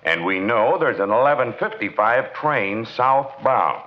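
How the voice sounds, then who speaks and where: An actor playing an earnest, touchy small-town police chief in a 1940s radio comedy, plain and a little defensive, on a muffled broadcast. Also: male voice, medium pitch, animated, unhurried, natural voice.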